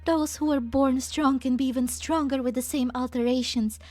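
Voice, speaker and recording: A high-pitched, softly spoken woman acting as a lady scientist. She is elegant, formal and earnestly self-righteous, in a game fan dub with faint music behind her. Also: steady tone, moderate pace, dry recording, natural voice. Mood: earnest conviction.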